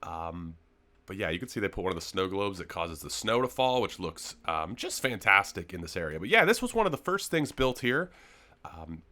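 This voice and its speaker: Excitable man with a gravelly cold voice. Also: deep voice, normal speed. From Canada. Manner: enthusiastic, rambling, many ums and uhs. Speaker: gaming YouTuber giving a server tour. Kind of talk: casual gameplay commentary.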